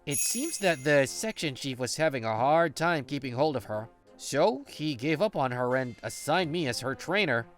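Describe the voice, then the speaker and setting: A man playing an easygoing, patient office senior in an English manga dub, with an expressive, conversational delivery. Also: medium pitch, moderate pace, faint music behind, natural voice. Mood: friendly.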